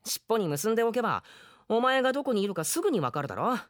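Carefree young lad, high and very animated, eager travelling companion in a radio play acted by a man. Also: quick pace, background noise. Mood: kind, casual. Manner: boyish, breezy and eager. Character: carefree, boisterous young male adventurer, kind to his companion. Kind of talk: radio drama acting.

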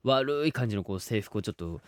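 A man in his thirties co-hosting a radio show with casual, agreeable banter and announcements in soft everyday phrasing. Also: fairly low pitch, expressive, fast talker, dry recording, clean studio sound.

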